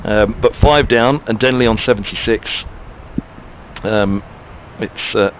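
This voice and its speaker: A man's voice: on the low side, slow, a little hiss or hum, low-quality recording. A man giving ball-by-ball radio cricket commentary, musing chattily between deliveries.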